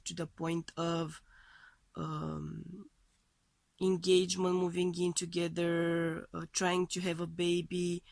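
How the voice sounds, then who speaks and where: Slow, low-voiced woman with a cold. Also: dry recording. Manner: tentative, searching phrasing as she reads cards. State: voice thrown off by a heavy cold. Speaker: tarot card reader. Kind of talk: weekly tarot love reading.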